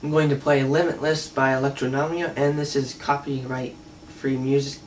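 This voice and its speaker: A man's voice: mid-range voice, little pitch movement, normal speed, a little hiss or hum. A man giving a casual, unscripted product demo in a hesitant, conversational way. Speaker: product reviewer. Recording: distant mic, faint music at times.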